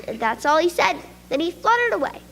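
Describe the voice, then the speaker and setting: A woman's voice: very high-pitched, big pitch swings, a little fast, fairly bright tone, big-room sound, slight background noise, heavily acted. A woman reading the part of a flustered schoolboy who stammers out a long, breathless excuse. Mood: anxious.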